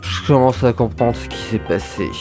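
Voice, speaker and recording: A man playing an exasperated, self-assured police inspector in a comedic audio drama, with a weary, deadpan delivery. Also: medium pitch, normal speed, natural voice. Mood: self-assured, explaining. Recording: music under the voice.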